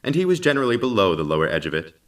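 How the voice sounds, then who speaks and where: An actor playing a formal, loyal robot companion who narrates in a measured, detached manner in an audio drama. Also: male voice, fairly low pitch, very animated, recorded from a distance. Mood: calm, detached.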